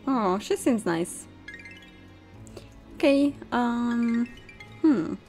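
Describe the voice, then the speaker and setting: A woman's voice: on the high side, lively intonation, very slow, a little mellow. A young woman musing aloud with delight as she gives casual commentary while playing a game. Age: young adult. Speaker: game streamer. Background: game music.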